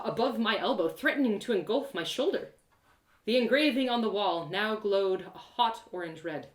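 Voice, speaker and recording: Give me a woman voicing a plucky, excitable young adventurer girl narrating a fantasy tale, bright and dramatic, in an echoey room. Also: a little high. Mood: panicked. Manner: exclamatory, dramatic, colloquial asides. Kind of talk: first-person dramatic story narration.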